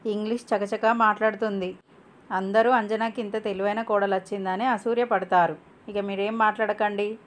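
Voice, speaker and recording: A woman plays a middle-aged mother in a cartoon family tale. She is proud and bossy, speaking assertively at an ordinary female pitch. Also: steady tone, moderate pace, natural voice. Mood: insistent, proud. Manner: assertive, dismissive to husband. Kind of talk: cartoon moral story voice acting.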